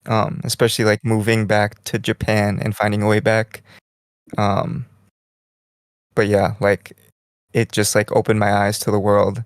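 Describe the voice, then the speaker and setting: A young man co-hosting a podcast in casual chat, rambling in a relaxed way and saying "like" constantly, lying in bed in a small bedroom. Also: lowish voice, a little slow, pauses often, clear, resonant tone, no echo, studio quality. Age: young adult. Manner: relaxed, rambling, heavy use of "like". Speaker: podcast co-host.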